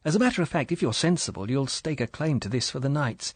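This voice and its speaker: A man voicing a young, pretty English wife who talks in a soft, chatty, apologetic way. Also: mid-range voice, expressive, fast talker, breathy edge, no echo, voice pushed up. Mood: eager.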